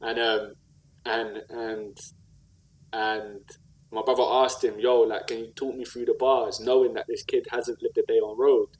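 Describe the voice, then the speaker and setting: A man's voice: mid-range voice, little pitch movement, a little slow, a little mellow, poor audio. A man with a South London accent speaking conversationally and earnestly in slang, reflecting and telling stories as an interview guest. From South London, England. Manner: earnest, slangy, with repeated "like" and "you know what I mean". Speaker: podcast guest, rapper and community activist.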